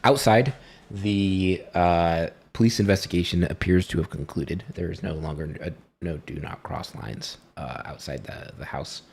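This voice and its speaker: A man acting as game master, narrating a tabletop game in a casual, halting, improvised and easily amused way. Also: deep voice, vocal fry, a little mellow, slightly rough.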